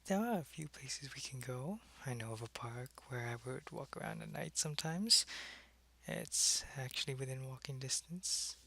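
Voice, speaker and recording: A man acts out an old-fashioned male vampire with a creaky, gravelly edge and a bright, crisp tone. He is wistful and friendly, speaking softly and casually as if in an intimate ASMR roleplay. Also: medium pitch, lively intonation, exaggerated voice. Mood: casual, inviting. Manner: unhurried, casual, soft-spoken, often dropping into whispers.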